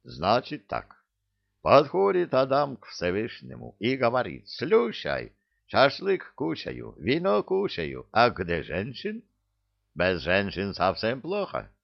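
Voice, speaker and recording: A man's voice: medium pitch, huge pitch swings, slow, gravelly, voice pushed up, exaggerated voice. A man voicing a whining, rambling huckster spirit from a comic fantasy audiobook, with a Georgian accent and broken grammar. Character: hook-nosed Caucasian-style huckster spirit in Native costume, whining. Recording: dull lo-fi audio.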